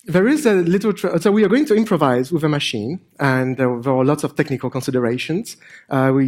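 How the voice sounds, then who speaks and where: A middle-aged man with a French accent and a hint of Polish, giving an informal stage talk in a hesitant, deadpan joking way. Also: a little high. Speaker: conference speaker, AI researcher and improviser. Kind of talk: informal stage presentation with dry humour. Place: on stage at a conference.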